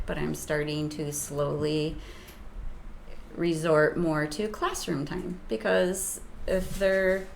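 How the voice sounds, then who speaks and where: Soft-voiced woman teacher, slow and hesitant. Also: fairly low pitch, vocal fry, a little hiss or hum. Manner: hesitant, with many ums and restarts. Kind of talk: interview guest, casual explanation.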